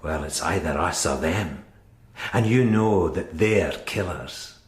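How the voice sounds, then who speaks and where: Hard British police sergeant, echoey room. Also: male voice, low-pitched, takes it slowly. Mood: threatening. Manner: blunt, goading, threatening. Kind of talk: radio crime drama acting. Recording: roomy, echoing interior.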